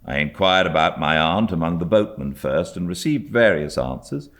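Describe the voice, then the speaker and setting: A British man reading a classic novel as an audiobook narrator, in a measured, expressive storytelling manner. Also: sits low. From Britain. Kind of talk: scripted literary narration.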